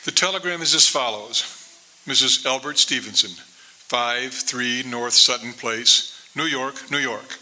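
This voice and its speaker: A man playing a polite, businesslike telegraph clerk who reads a message in a measured, formal way. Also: mid-range voice, pushed and bright, low-quality recording. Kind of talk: live radio drama reading.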